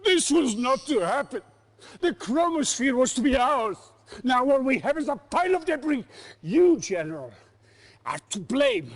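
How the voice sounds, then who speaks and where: An actor playing a ruthless, impatient Soviet dictator in a video game cutscene, commanding and contemptuous. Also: male voice, very high voice, big pitch swings, normal speed, full character voice. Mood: enraged.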